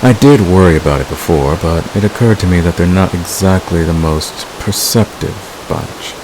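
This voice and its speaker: A man playing a smug, seductive fantasy villain who is secretly in love, in an intimate, teasing and unhurried audio roleplay. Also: sits low, noisy background, lightly acted. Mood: calm, reassuring. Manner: teasing, unhurried, murmuring to a lover. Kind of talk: romantic audio roleplay, intimate ASMR acting.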